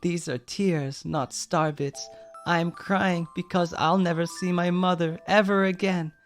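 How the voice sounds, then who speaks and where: A man's voice: sits high, fairly even intonation, a little slow, faint music behind, voice pushed up. A man voicing a cheerful, determined little girl traveling through space, in an eager, rising voice, for a read-aloud storybook. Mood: tearful. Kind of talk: storybook reading on a stream.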